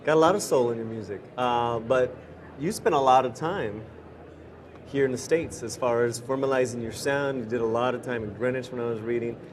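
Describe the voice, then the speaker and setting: A man hosting a casual festival interview, upbeat and chatty, firing off quick questions. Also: medium pitch, noisy background. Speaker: interviewer host.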